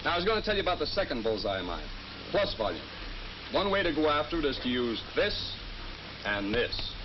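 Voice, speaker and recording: Very animated folksy American salesman. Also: a little high, brisk. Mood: eager, explaining. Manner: folksy, eager, explanatory. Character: folksy, upbeat male bread route salesman. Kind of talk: 1950s training film acting, explaining to listener. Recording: noisy, muffled 1950s film soundtrack.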